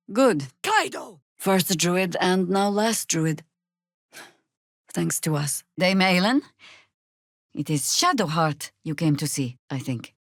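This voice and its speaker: Seasoned female druid adventurer, lower-pitched, close dry recording, stern, sardonic, measured, fantasy game dialogue. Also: dry recording. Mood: wry, grave, then urgent, shouting. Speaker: video game voice actor.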